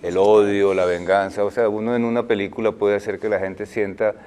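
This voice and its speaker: Low-voiced older man, halting. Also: level tone, a little mellow, clean tone. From Colombia. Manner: thoughtful, halting, many fillers and restarts. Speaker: guest, film director. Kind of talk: interview answers.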